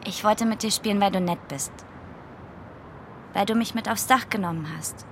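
A woman's voice: medium pitch, normal speed, a bit bright, a little hiss or hum, natural voice. A woman playing a bold, outspoken teenage girl in a radio play, direct and challenging in manner. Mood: earnest. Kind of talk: German radio play acting.

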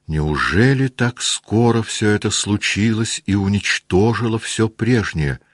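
A man's voice: fairly low pitch, lively intonation, a little slow, dry recording, studio quality, voice pushed up. A man reading an audiobook, playing a proud, stubborn young noblewoman in love with an emotional manner. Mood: troubled.

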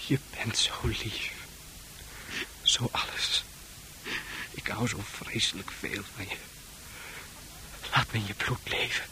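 An actor playing a tormented, obsessively devoted lover in a radio play, insistent, pleading and tender. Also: male voice, on the low side, steady tone, takes it slowly, long pauses, sharp tone, a little hiss or hum, exaggerated voice, low-quality recording. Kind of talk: intense radio play acting.

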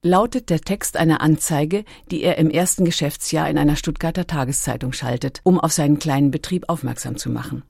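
Low, creaky female documentary narrator. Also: fairly quick, few pauses, a little mellow, dry recording, clean studio sound.

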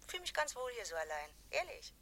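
A woman's voice: on the high side, very animated, brisk, bright, forceful tone. An actress playing an affectionate woman alone at home, speaking casually and intimately, in a German radio crime drama. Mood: wistful, intimate. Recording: faint background noise and ambience.

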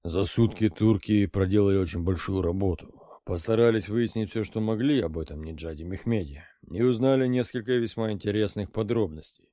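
A man reading an audiobook, voicing a composed middle-aged intelligence colonel who reports in a measured, matter-of-fact way. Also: fairly low pitch, warm tone, dry recording, studio quality, lightly acted. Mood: even.

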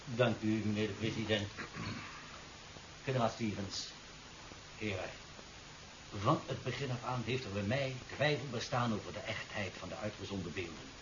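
Man acting a low-voiced, assured official. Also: moderate pace, long pauses, natural voice. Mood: assured, formal. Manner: formal, self-assured, condescending. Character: smooth-talking, assured male official, condescending and domineering. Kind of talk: 1970s Dutch radio drama acting. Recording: dull, hissy 1970s radio recording.

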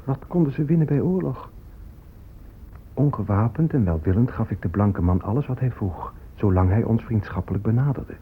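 An actor playing a grave leader recounting conquest in deliberate radio drama monologue. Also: male voice, on the low side, animated, a little hiss or hum. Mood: puzzled, reproachful. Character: grave man recounting conquest, warrior or chief. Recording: tinny, phone-like old radio recording.

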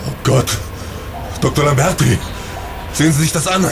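An actor playing an alarmed, dutiful police sergeant speaking urgently in a radio crime drama. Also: male voice, a little high, moderate pace, close to an everyday voice. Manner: urgent, concerned. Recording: radio play with loud vehicle-like ambient noise.

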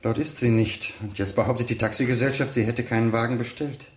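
An actor playing a worried, insistent husband in a German radio crime play, with clipped, pressing questions. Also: male voice, mid-range voice, fairly even intonation, brisk, roomy sound, natural voice.

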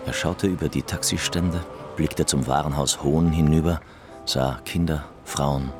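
A man narrates a literary radio drama in an extremely low voice. He takes his time over each phrase, with guitar music clearly behind him. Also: steady tone, unhurried.

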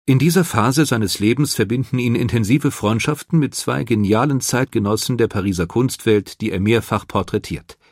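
A man reading scripted biographical narration in a measured, composed manner. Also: medium pitch, darkish tone, no room sound, studio quality. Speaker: documentary narrator. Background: music.

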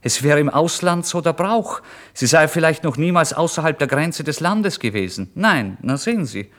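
A man's voice: on the high side, expressive, normal speed. An actor playing a starving, proud and agitated down-and-out man in a dramatic literary radio monologue. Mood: indignant, angry. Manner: dramatic, swinging from hushed brooding to indignant outbursts.

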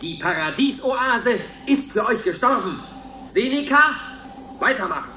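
A man's voice: extremely high pitch, lively intonation, unhurried, echoey room, noisy background. A man playing a touchy, exasperated supervisor in a radio drama, with a scolding, indignant manner. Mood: offended, vengeful.

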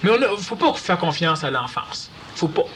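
A man with a Quebec French accent giving a lively, conversational talk to an audience and acting out quoted voices. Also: a little high, normal speed, a little hiss or hum. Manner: animated, acts out quoted voices. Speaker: lecturer.

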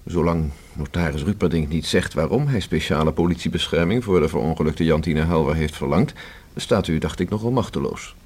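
An actor in a Dutch radio play playing a guarded, haughty gentleman under police questioning, speaking in a stiff, precise, formal way. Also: extremely low pitch, brisk, breathy edge.

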